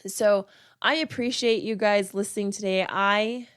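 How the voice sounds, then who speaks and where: A woman's voice: medium pitch, fairly even intonation, measured pace, clean tone. Congested woman hosting a podcast. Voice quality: nasal. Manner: earnest, repeats words for emphasis. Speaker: podcast host. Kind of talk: solo podcast talk.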